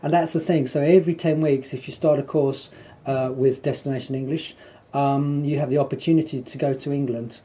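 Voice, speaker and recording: A man speaking in an easygoing radio interview. His voice is very dark and warm, and it sits at an average pitch. He talks loosely and warmly about his teaching and gets livelier when making a point. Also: steady tone, a little fast, hardly any pauses. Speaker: English teacher and school founder. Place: radio studio. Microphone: studio microphone.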